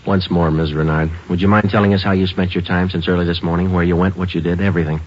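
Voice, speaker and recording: Rapid-fire, low-voiced deadpan detective. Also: male voice. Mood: methodical. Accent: American. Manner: deadpan, clipped, politely firm. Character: calm, relentless male police detective. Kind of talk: 1950s radio crime drama, terse dialogue and narration. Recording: dull lo-fi old-time radio recording.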